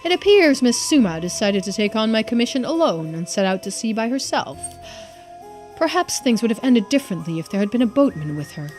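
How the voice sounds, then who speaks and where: A woman plays a grave, courteous man from a mystery video game. The phrasing is formal and measured, with expressive rises and falls. Faint music sits behind the voice. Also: a little high. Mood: intrigued, measured. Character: polite, grave, remorseful male client in a mystery game.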